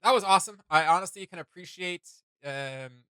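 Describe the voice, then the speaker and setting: A man with a bright, forward voice talks casually as the host of a reaction show. He rambles enthusiastically, thinking out loud as he goes. The sound is close and dry. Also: high-pitched, normal speed, smooth, dry recording. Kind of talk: casual chat.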